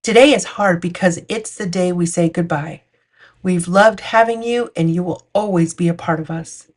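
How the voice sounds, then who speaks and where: A woman's voice: fairly low pitch, moderate pace. Woman reading a children's storybook aloud. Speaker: children's picture book read-aloud host. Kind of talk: storytime read-aloud narration.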